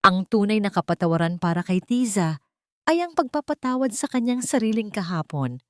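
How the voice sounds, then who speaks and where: A woman narrates a dramatic love story as a storyteller. Her voice sits fairly low, and she delivers the script in measured, literary phrases. The recording is close and dry. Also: darkish tone, no echo.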